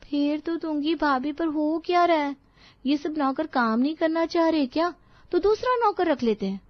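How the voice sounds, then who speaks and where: A woman voicing a pampered, spirited young daughter-in-law in a story, animated and questioning. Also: high-pitched, moderate pace, dry recording, lo-fi sound, voice pushed up, lightly acted. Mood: puzzled, pleading.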